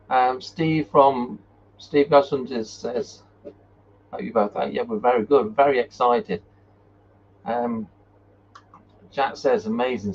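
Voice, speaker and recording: A man hosts an informal live chat, reading out comments in a halting, everyday way. His voice sits at a middling pitch. The audio is dull, with faint noise behind it. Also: vocal fry. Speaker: host of a paranormal live chat. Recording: muffled, noisy live-stream audio.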